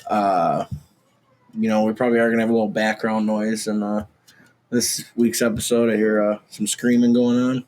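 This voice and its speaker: A man's voice: fairly low pitch, little pitch movement, normal speed, darkish tone. A man in his twenties co-hosting a casual sports podcast, his voice a little scratchy, talking brashly with lots of fillers and swearing. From Minnesota. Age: 20s. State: slightly scratchy voice. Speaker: podcast co-host.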